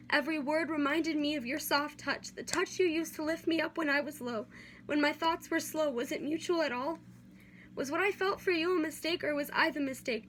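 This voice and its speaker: High-voiced young woman reciting slam poetry. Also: fairly bright tone. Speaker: amateur slam poet. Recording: amateur recording with light background noise.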